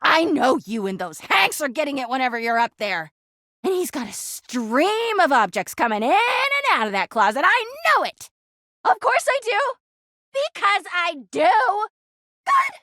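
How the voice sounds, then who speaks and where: A woman's voice: sits high, sing-song, measured pace, bright, forceful tone, no echo, full character voice. A woman voicing a clingy, jealous talking laundry hamper in a video game, gushing sweetly and flipping into bitter drama. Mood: accusing, jealous. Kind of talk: video game character voice acting.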